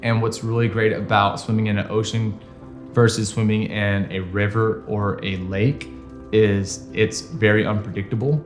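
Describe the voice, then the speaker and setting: Low-voiced man in echoey interview. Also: steady tone. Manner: earnest, measured, reflective. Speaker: triathlete interviewee. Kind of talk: documentary interview. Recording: echoey room under music.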